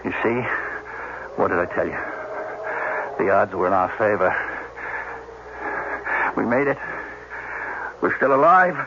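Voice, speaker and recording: An actor in a radio drama playing an injured, frightened scientist, halting and repeating his words in gasps. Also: fairly low pitch, sing-song. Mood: weakened, strained. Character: injured, frightened male scientist stranded on alien planet. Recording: noisy, muffled old radio broadcast.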